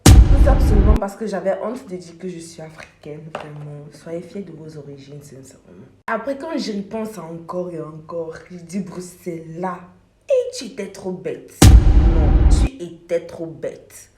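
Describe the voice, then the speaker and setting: A woman's voice: on the low side, unhurried, loud music behind the voice. Emphatic young woman vlogging in an echoey room. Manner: emphatic, repetitive, animated storytelling. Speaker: beauty vlogger. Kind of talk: casual vlog monologue. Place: room at home while doing makeup.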